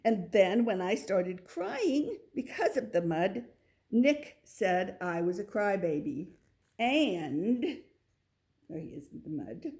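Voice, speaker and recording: A woman reading a children's picture book aloud, with expressive delivery and little asides to the listener. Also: mid-range voice, slow. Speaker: storybook reader.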